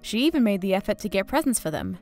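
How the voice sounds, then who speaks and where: Woman, lively intonation, scripted storybook narrator, music behind. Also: medium pitch, fast talker.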